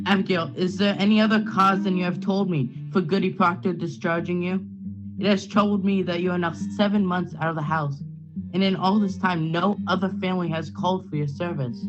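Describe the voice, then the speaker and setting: Dull, lo-fi audio with music clearly underneath carries a man playing a middle-aged Puritan minister. He is stern yet fearful for his position, questioning urgently in formal, archaic phrases, as in a period radio drama. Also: sits high, steady tone, fairly quick, lightly acted. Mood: stern, anxious. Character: anxious, self-protective Puritan minister, stern uncle. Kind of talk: period stage drama acting, radio play. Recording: dull lo-fi sound with music behind.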